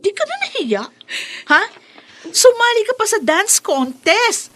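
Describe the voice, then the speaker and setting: Spoiled, haughty Filipino daddy's girl, bright forceful voice, very lively delivery, radio drama. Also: very high voice, a little slow, exaggerated voice. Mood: scornful, angry. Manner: bratty, scornful, wheedling. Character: spoiled, haughty schoolgirl bully, daddy's princess.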